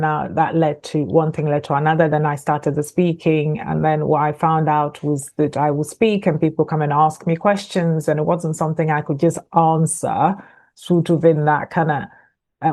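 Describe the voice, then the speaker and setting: Low-voiced woman, chatty guest from Ghana. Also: a little mellow. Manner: chatty, laughs easily, many fillers and restarts. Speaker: guest, author and coach. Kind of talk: conversational podcast interview.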